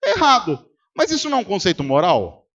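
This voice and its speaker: A man's voice: high voice, huge pitch swings, fast, fairly bright tone, muffled recording. A man with a Brazilian Portuguese accent preaching a sermon as pastor, arguing rhetorically and putting questions to his congregation. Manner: rhetorical, quizzes the congregation and acts out arguments. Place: church service.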